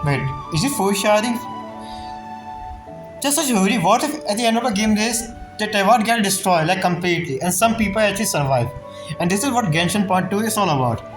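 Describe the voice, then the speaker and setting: High-voiced man musing over a game. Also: low-quality recording. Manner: musing, questioning, informal. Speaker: gaming streamer. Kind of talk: casual live reaction commentary on a game.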